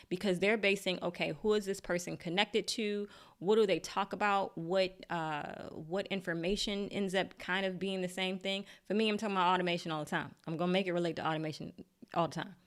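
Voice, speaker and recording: A woman with a slightly low voice teaches a live online workshop. She is chatty and encouraging and laughs easily at her own slips as she explains things to her viewers. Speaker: LinkedIn marketing coach. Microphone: desk mic.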